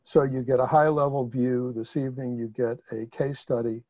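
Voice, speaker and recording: A man's voice: mid-range voice, little pitch movement, moderate pace, a little mellow, dry recording. A man with a Chicago accent presenting a webinar over a video call, talking conversationally with fillers and restarts. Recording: muffled video call audio.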